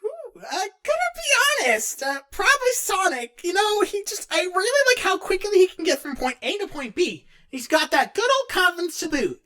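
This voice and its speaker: An excitable, geeky young cartoon guy, acted out by a woman, with a high, bright voice that swings wildly up and down. He rambles awkwardly, hesitating and interrupting himself as he answers questions in character. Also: moderate pace, few pauses, full character voice. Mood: eager. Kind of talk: in-character scripted fan Q&A.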